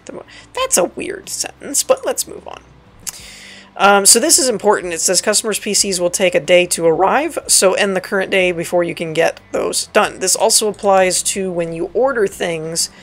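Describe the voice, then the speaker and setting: Raspy low-voiced woman narrating gameplay. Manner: rambling, self-correcting, reads in-game text aloud. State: raspy, dry throat. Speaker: let's play host. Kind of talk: casual gameplay tutorial commentary.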